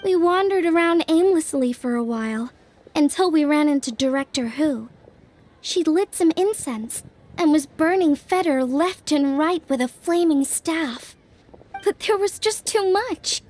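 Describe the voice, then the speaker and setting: An actress voicing an earnest, thoughtful young woman in a fantasy video game, speaking in a measured, polite, slightly hesitant way. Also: high-pitched, expressive, a little mellow. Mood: recounting, relieved. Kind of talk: video game voice acting, conversational storytelling.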